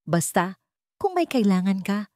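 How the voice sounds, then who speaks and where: A woman's voice: mid-range voice, sing-song, a little slow, mellow, no room sound, studio quality. Woman voicing a chummy, two-faced male villain. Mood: falsely sympathetic. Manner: chummy, falsely sympathetic. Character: scheming male friend faking sympathy.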